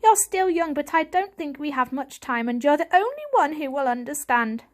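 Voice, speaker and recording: A woman's voice: high-pitched, sing-song, moderate pace, pitched above the performer's own voice. A woman voicing an elderly male cat, a wise, secretive grandfather with an earnest storytelling manner, for a children's audiobook. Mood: grave, urgent.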